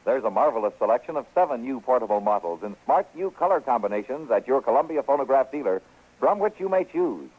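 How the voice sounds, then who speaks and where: A man with an American accent reading a polished sales pitch as an announcer in a vintage radio advertisement. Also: sing-song, fast. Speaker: commercial announcer. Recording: tinny vintage radio recording.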